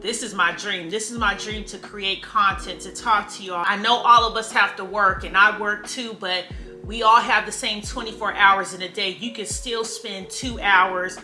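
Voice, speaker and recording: A woman's voice: mid-range voice, steady tone, pushed and bright. A woman, talking candidly to camera in a casual motivational vlog. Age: 30s. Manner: candid, chatty, drawn-out emphatic phrases. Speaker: vlogger. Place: room at home. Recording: echoey room with faint music.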